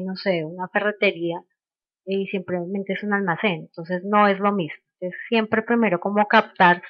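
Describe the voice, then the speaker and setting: A woman giving an informal sales training webinar, explaining haltingly and checking in with short questions. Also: medium pitch, no room sound. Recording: dull, lo-fi online call audio.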